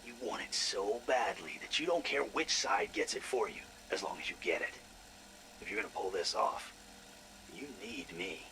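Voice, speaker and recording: An actor playing an irritable, sarcastic, foul-mouthed scientist in a video game audio log. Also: male voice, lowish voice, normal speed, background noise, lightly acted. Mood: sly, conspiratorial. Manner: sarcastic, exasperated, profane.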